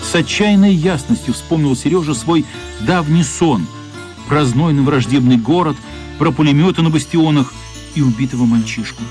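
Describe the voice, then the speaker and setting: Man narrating a radio drama over soft music. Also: fairly high pitch, moderate pace.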